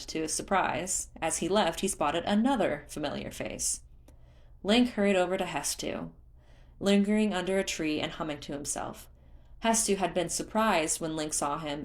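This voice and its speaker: Lower-pitched woman narrating a story.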